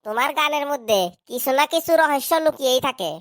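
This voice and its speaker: A woman's voice: high-pitched, fast talker, noisy background, close to an everyday voice. A woman voicing a cheeky, wisecracking schoolboy with glasses in a cartoon. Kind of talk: cartoon dub acting.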